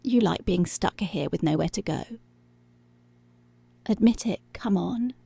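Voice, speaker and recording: Woman acting a slow, breathy, dominant policewoman. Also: mid-range voice, mellow, no room sound. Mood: taunting, seductive. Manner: commanding, seductive, drawn-out taunting, slipping into whispers. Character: dominant, teasing, menacing policewoman toying with her captive. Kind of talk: intimate ASMR roleplay, slow and breathy.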